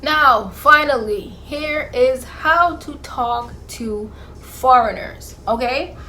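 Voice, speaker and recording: A woman's voice: high voice, slow pace, pushed and bright, a little hiss or hum, low-quality recording. Sassy Jamaican-accented young woman vlogger. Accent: mixed Jamaican and Bahamian. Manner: sassy, playful, sarcastic asides. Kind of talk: casual talk to camera.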